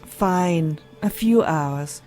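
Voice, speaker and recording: An actress playing a weary, dedicated and compassionate doctor in an audio drama, earnest and dutiful in manner. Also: female voice, on the low side, drawn-out words, mellow, soft background music. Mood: tired, resigned.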